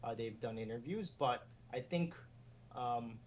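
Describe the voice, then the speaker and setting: Relaxed, rambling man from New York. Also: medium pitch, measured pace, a little hiss or hum. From New York City, USA. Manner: relaxed, meandering, chuckles while talking. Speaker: esports agent and former team general manager, guest. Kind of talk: casual interview conversation.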